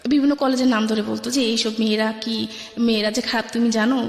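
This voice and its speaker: A woman with a high voice and a Bangladeshi accent shares a personal story as a guest. She speaks colloquially, replaying conversations by quoting each side. The recording is very echoey, with a long reverb tail. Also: little pitch movement, fast, vocal fry, faint music behind. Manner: recounts conversations by quoting both sides. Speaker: guest telling her life story.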